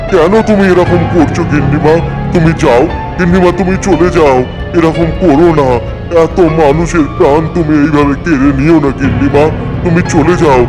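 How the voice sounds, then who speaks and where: Man doing a cartoon caretaker, loyal, protective, pleading, fairly high voice, loud music. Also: natural voice, poor audio. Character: loyal male caretaker guarding the guests. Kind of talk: dubbed cartoon acting.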